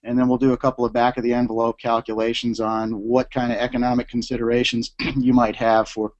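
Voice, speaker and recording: A university professor delivers a webinar talk in a measured, explanatory way, filling pauses with "uh". His voice is slightly scratchy from a cold. The sound is dull and lo-fi, like an online stream. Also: medium pitch, flat delivery. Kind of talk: lecture presentation. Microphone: remote presentation line.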